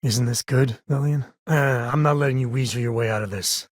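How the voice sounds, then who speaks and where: A man voicing a rugged, wry, warm-hearted space ranger in a video game, with a folksy, conversational way of talking. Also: mid-range voice, a little fast, no echo, lightly acted. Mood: earnest. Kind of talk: video game character dialogue.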